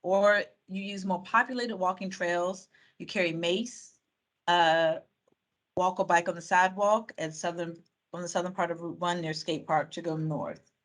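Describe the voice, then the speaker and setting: A woman's voice: fairly low pitch, steady tone, normal speed. A woman facilitating a community video call, reading out and summing up participants' answers in a chatty way with fillers and restarts. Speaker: meeting facilitator.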